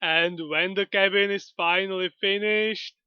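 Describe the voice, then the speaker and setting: Flat, slow-talking high-voiced man. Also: smooth, no echo. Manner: slow, even, unhurried retelling. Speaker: channel host. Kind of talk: retelling a comic story. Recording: dull lo-fi dry recording.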